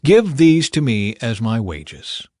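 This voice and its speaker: A man's voice: mid-range voice, very animated, slow pace, darkish tone, dry recording, studio quality. A man voicing an earnest, hardworking herdsman in an emphatic Bible audiobook reading. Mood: earnest, insistent.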